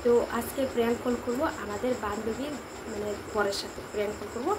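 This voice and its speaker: A woman's voice: a little high, fast talker. A woman vlogger chatting casually to her viewers, recorded on a phone with noise behind her. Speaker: vlogger hosting a prank call video. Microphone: phone camera.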